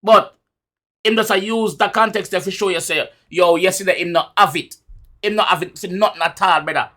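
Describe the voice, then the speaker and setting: A man's voice: high-pitched, a little fast, bright, forceful tone. A man with a Jamaican accent giving casual, emphatic music reaction commentary in Patois, often repeating his phrases. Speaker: YouTube reaction host.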